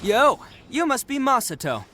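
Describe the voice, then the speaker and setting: An actor playing a brash, warm-hearted young male Oni gang leader in a video game, with a boisterous, slangy, swaggering manner. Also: very high-pitched, sing-song, a little slow, faint music behind, full character voice. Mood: cheerful, relieved. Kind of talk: animated video game voice acting.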